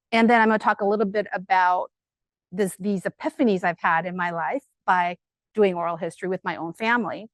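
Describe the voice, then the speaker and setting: A middle-aged woman with a loud voice, giving a lively, conversational academic talk to an audience, full of fillers and enthusiasm. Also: medium pitch, dry recording. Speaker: guest speaker at an academic colloquium.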